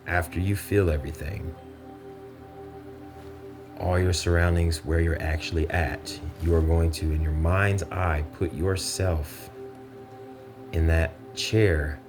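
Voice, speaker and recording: Male meditation guide, very deep and monotone, measured instructional phrasing with pauses.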